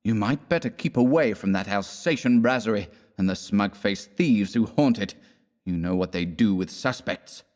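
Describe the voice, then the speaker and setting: A man's voice: on the low side, normal speed, darkish tone, close to an everyday voice. A man voicing an angry young American man in a storybook, blunt and contemptuous, sneering and growling threats. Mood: menacing. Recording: long reverberant room echo.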